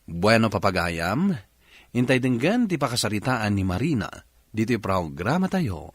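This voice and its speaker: Lively male radio drama host. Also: on the low side, normal speed. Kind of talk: scripted dramatic radio narration, reading a letter.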